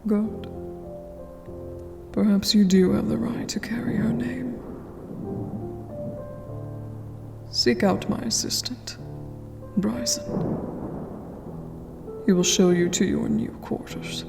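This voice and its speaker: A woman's voice: mid-range voice, fairly even intonation. A woman playing a cold, stern vampire queen in a fantasy ASMR roleplay, regal and commanding. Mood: coolly satisfied. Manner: slow, commanding, breathy whispered delivery. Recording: long hall reverb, music underneath.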